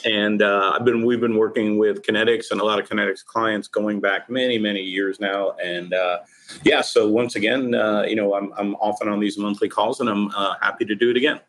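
Man, lawyer, low-ish voice, relaxed, uh-heavy. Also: a little fast, a little hiss or hum, poor audio. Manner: relaxed, filler-prone. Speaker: immigration attorney. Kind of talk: casual interview.

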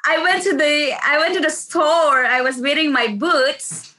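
A woman's voice: high-pitched, measured pace, bright, forceful tone. A middle-aged woman with a Filipino accent, a nurse telling casual stories in an interview, chatty and laughing often. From Philippines. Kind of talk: casual storytelling.

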